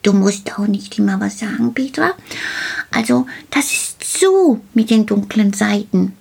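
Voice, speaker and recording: A woman voicing a small, excitable male gnome who is eager, childlike and likes to repeat words in a storybook tale. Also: mid-range voice, a little slow, vocal fry, husky, pitched above the performer's own voice, full character voice. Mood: proud, explaining.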